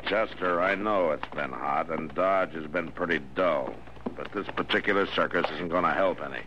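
Radio western lead: actor as stern American lawman, deep voice, level-headed and terse, audible vintage noise. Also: normal speed, highs cut off, lightly acted. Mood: patient, dry. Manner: firm, terse, authoritative. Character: stern, level-headed frontier town marshal. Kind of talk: 1950s radio western acting. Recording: noisy old radio broadcast recording.

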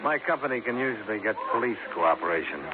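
An actor playing a cool, persistent American insurance investigator, dry and unhurried, in a 1950s radio detective drama. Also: male voice, on the low side, lively intonation, over music, close to an everyday voice. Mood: cool, pressing. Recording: tinny old 1950s radio recording.

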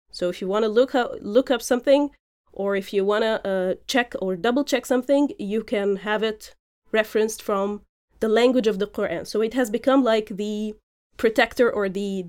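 A woman podcast host giving an explanatory educational talk, pausing and repeating words as she thinks aloud. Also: medium pitch, a little mellow.

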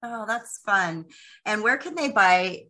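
A woman's voice: medium pitch, lively intonation, slow pace, bright, forceful tone. A woman from Texas hosting a podcast interview, chatty and gushing, with lots of filler words. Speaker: podcast host. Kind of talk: casual interview chat.

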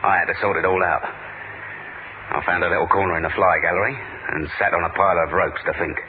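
A man's voice: low-pitched, a little fast, noisy background, close to an everyday voice. An actor playing a dry, world-weary spy who narrates his case in a sardonic 1970s radio thriller style. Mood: brooding. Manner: deadpan, sardonic. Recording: muffled old radio recording.

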